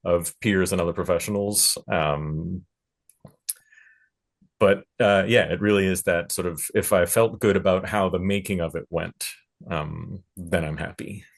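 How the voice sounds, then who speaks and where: Male panelist, low-pitched, reflective and halting, talking through his thoughts as a music producer. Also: steady tone, creaky. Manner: halting, thinking aloud, hedging with "sort of". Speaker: panelist, record producer and recording engineer. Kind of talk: panel discussion talk, reflective.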